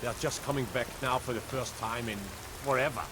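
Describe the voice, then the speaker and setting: An actor playing a blunt, awed city guard in a fantasy video game, telling of legends with emphasis. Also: male voice, mid-range voice, steady tone, a little fast, background noise, natural voice. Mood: insistent. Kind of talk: video game character dialogue.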